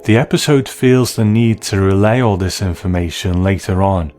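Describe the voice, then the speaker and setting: A man delivering scripted review commentary in a measured, analytical way. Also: low voice, a little mellow.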